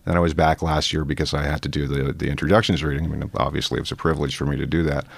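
Canadian man, very low voice, dry close sound, relaxed guest with lots of ums. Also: rapid-fire, gravelly, slightly rough, no room sound. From Ireland. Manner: relaxed, thoughtful, many fillers. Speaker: poet guest. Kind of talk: casual interview conversation.

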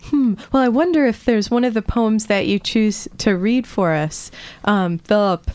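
Woman host with a mid-pitched voice, dry close sound, chatty and friendly. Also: unhurried, a little mellow, no echo. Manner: friendly, chatty, often laughs. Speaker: interviewer. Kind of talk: casual interview conversation.

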